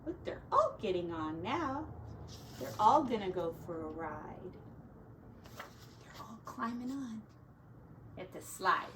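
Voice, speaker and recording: A woman's voice: mid-range voice, sing-song, takes it slowly, pauses often, fairly bright tone. A woman teacher reading a picture book aloud to children in a sing-song, dramatic way. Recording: distant mic, faint background noise.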